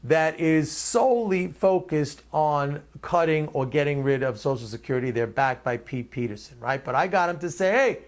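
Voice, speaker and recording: A man's voice: on the high side, lively intonation, moderate pace, hardly any pauses. A man hosting his own commentary, speaking off the cuff in an emphatic, opinionated way. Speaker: commentator and host.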